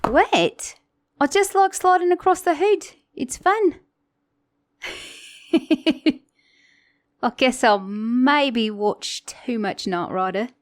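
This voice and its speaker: A woman's voice: high voice, extremely slow, long pauses, dry recording, studio quality. A woman with a mixed Australian and British accent playing a flirty, sassy, teasing car mechanic in an ASMR romance roleplay. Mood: excited, playful. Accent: Australian-British mix. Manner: flirty, teasing, playful, with soft chuckles.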